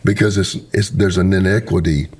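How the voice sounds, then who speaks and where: A middle-aged pastor, hoarse, hosting a casual talk show in an earnest, preacherly way. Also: male voice, sits low, steady tone, fairly quick, a little mellow. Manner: earnest and preacherly, with homespun sayings. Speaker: pastor and podcast host. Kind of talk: casual conversation, closing a podcast episode.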